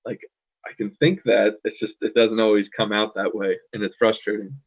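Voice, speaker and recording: A man from the United States co-hosting a casual chat, thoughtful and pausing often with "um". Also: fairly low pitch, steady tone. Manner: thoughtful, many "um" fillers. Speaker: podcast co-host. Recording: tinny phone-like line.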